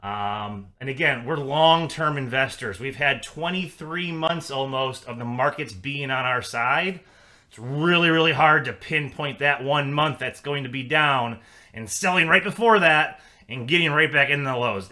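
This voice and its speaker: A man's voice: mid-range voice, normal speed, pushed and bright. A man giving a casual, upbeat client update as a financial advisor, chatty with frequent fillers.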